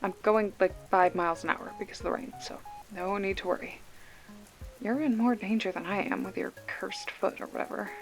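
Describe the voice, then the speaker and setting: A woman's voice: medium pitch, moderate pace, soft background music, lightly acted. A woman playing a sarcastic, foul-mouthed young video store clerk with a protective streak, talking casually and wryly in a one-sided phone call for an ASMR roleplay. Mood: wry, bantering. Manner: wry, snarky, casual with swearing.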